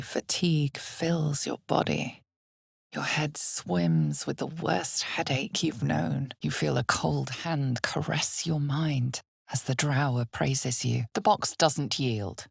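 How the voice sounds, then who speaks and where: An ominous, all-knowing narrator for a fantasy video game, in a very low, breathy female voice. She speaks slowly and theatrically, often sinking toward a whisper, close and dry as if right at the ear. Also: darkish tone, no room sound, full character voice. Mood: measured, foreboding. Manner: measured, theatrical, ominous storyteller delivery. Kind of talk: dramatic second-person fantasy narration.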